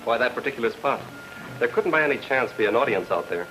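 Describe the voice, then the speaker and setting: A man's voice: mid-range voice, lively intonation, fast, lightly acted, poor audio. An actor playing a dry, sardonic man in an old-time radio mystery, wry and mocking. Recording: old radio recording with music.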